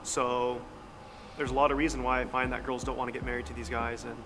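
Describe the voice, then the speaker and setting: A man's voice: mid-range voice, flat delivery, fast, noisy background. A man on a debate panel giving his opinions bluntly and confidently. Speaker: panel participant.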